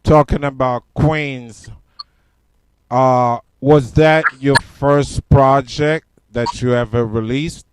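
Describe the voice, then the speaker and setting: A man hosting a podcast interview in an unhurried, laid-back way. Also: mid-range voice, steady tone, clear, resonant tone. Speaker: podcast host. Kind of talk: casual interview.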